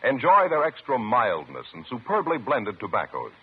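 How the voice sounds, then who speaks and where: A man's voice: medium pitch, wildly swooping pitch, normal speed, breathy edge. A man delivering a polished, emphatic 1950s radio commercial as an announcer. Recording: narrow, tinny vintage radio recording.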